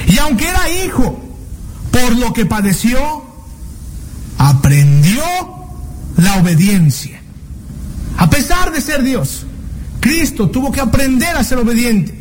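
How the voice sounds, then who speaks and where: A man with a very high voice preaches an earnest sermon, stretching out key words and pausing on rhetorical questions. He is from Mexico. A faint hum of ambience sits under his voice. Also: poor audio.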